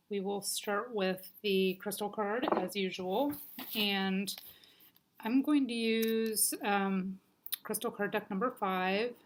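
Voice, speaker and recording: A woman giving a casual weekly crystal and oracle card reading, her voice a little stuffy and scratchy from allergies, speaking gently with frequent ums. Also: medium pitch. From United States.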